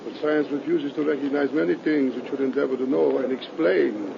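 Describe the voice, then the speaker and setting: An actor playing a grave older country doctor in 1930s radio horror style. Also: male voice, mid-range voice, fairly quick, gravelly. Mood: ominous, grave. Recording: crackly, muffled 1930s radio recording.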